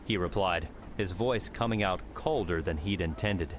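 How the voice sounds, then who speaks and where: Low-voiced male audiobook narrator. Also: moderate pace, background noise. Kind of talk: scripted fiction narration.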